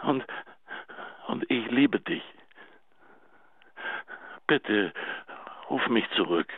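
An actor playing a pleading, lovesick, self-pitying husband leaving a halting, intimate voicemail in a radio drama. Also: male voice, fairly low pitch, animated, breathy edge, dry recording, heavily acted. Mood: tender, pleading. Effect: telephone voicemail filter. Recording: tinny narrow phone line.

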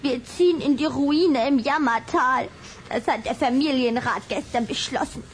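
A woman's voice: high-pitched, moderate pace, slight background noise, close to an everyday voice. An actress playing a sweet little vampire girl in a radio play, speaking in an earnest, confiding way. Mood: confiding, rueful. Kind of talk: German radio play acting.

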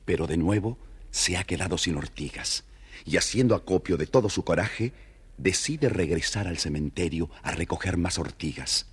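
Deep, raspy male fairy-tale narrator. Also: animated, normal speed. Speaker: storyteller, narrator.

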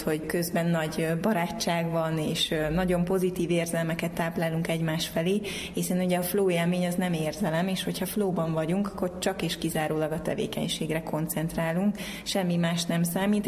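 A woman's voice: lowish voice, a little mellow. A young woman, an academic researcher, explaining her research in an interview. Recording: reverberant hall, noticeable background noise.

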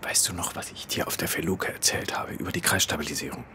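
Actor doing an excited engineer sharing secrets, breathy low murmur, radio drama. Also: male voice, quick pace, close to an everyday voice. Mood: secretive, excited. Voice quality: breathy, half-whispered. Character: eager, conspiratorial male rocket engineer. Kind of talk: hushed radio drama acting.

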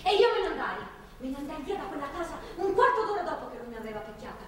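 High-voiced defiant young captive, echoey stage. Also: female voice, sing-song. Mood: shaken, bitter recounting. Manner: foul-mouthed, agitated, repetitive and halting. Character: captive young pregnant woman, bitter, sarcastic, defiant. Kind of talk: theatrical stage drama acting. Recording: echoey, distant theatre recording, faint noise.

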